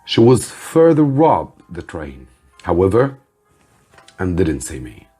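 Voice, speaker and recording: A man who teaches English reads a story aloud as narration for learners, with careful, deliberate delivery. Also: low-pitched, big pitch swings, dark tone, breathy edge, faint music behind.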